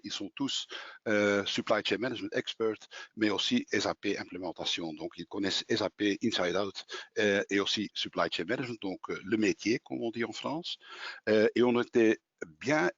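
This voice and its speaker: A man's voice: fairly low pitch, no room sound. A man in his sixties with a Flemish accent, a native Dutch speaker presenting in French at a webinar, often hesitating as he searches for words. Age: 60s. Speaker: software company co-founder presenting. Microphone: video call. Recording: dull, dry webinar audio.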